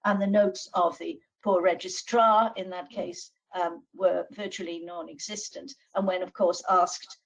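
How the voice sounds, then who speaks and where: A woman with a Welsh accent giving a deliberate legal presentation on a video call, with frequent pauses and fillers. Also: on the low side, steady tone, vocal fry, recorded from a distance, low-quality recording. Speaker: law professor speaking on a webinar. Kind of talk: measured expert presentation.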